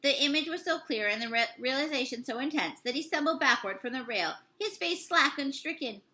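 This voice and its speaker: A woman reading a novel aloud like a teacher, with dramatic, deliberate storybook narration and the odd stumble over words. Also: high voice, lively intonation, pushed and bright.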